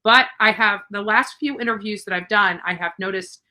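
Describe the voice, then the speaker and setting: Bright-voiced woman true crime commentator. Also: mid-range voice, moderate pace. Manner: chatty, with fillers and restarts. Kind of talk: casual commentary.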